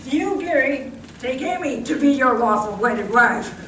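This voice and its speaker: A woman's voice: sits high, expressive, normal speed, fairly bright tone, a little hiss or hum. A woman playing a formal Justice of the Peace conducting a wedding in a radio comedy. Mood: solemn. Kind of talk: old-time radio comedy sketch acting. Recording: echoey hall, distant mic.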